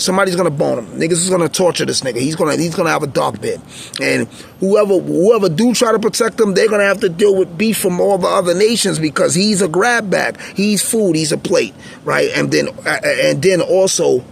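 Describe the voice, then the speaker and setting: A man with a raspy voice telling stories in a blunt, streetwise, rambling monologue. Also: on the high side, slight background noise. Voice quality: raspy, gravelly voice. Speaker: channel host, former prisoner. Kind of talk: casual unscripted monologue.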